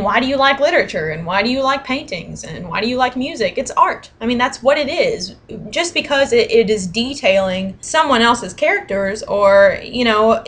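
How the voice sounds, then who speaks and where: A woman's voice: a little high, a bit bright. A woman in her twenties with an American accent, talking casually in an interview as a fan. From United States. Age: 20s. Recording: background room noise.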